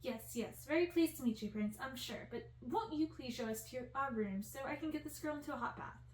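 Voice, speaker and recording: A woman's voice: high voice, a little fast, close to an everyday voice. Woman voicing a fussy, grumbling ancient nanny, echoey. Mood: indignant, bossy. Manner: indignant, brusque, bossy. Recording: distant roomy recording with faint hiss.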